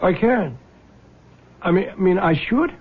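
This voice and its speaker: An actor playing a dry, stubborn, honest older man in a 1970s radio drama, speaking in a measured, sardonic way. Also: high voice, breathy edge, narrow, tinny audio. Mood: wry, resigned. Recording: thin, band-limited vintage radio recording.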